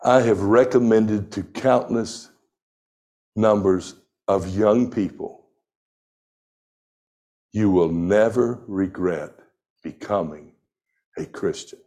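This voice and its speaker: Man in his sixties, lowish voice, unhurried pastor delivering a sermon. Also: dark tone. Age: 60s. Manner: slow, deliberate preaching with emphatic scripture reading.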